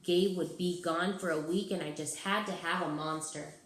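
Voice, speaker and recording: A woman giving an expressive narration of a children's storybook, as a teacher reading aloud. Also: on the low side, normal speed. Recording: roomy, echoing sound.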